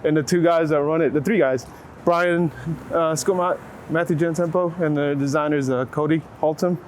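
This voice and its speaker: Higher-pitched American man, casual and reflective. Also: steady tone, normal speed. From Waco, Texas, United States. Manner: reflective, informal, hedging with frequent "like". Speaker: photographer being interviewed. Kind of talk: casual conversational interview. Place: outdoors on a city street. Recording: clear street and traffic noise. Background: urban ambience, passing vehicles.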